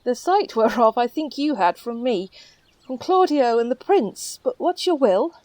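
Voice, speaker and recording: High-voiced commanding noble mother. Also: expressive, a little slow, a little mellow, dry recording. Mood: firm, gracious. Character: authoritative noblewoman, mother and head of household. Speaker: actor in an audio Shakespeare play. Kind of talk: Shakespearean radio play acting.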